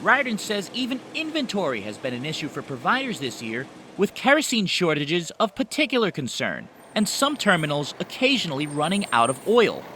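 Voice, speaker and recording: A man's voice: high-pitched, very animated, brisk, pushed and bright, a little hiss or hum. A man working as a television news reporter, reading a scripted narration.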